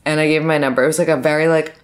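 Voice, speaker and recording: A woman's voice: low voice, steady tone, rapid-fire. A young woman co-hosting a casual podcast chat, telling dating stories with lots of "like" and acted-out quotes. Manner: chatty, lots of "like", acts out quotes.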